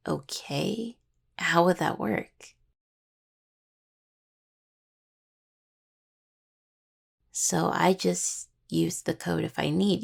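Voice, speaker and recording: Husky, gravelly young necromancer woman, acted. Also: sits low, exaggerated voice. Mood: thoughtful. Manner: halting, casual, teasing. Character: affectionate, playfully stubborn young necromancer healer, a devoted partner. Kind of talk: intimate roleplay audio, one-sided dialogue to listener.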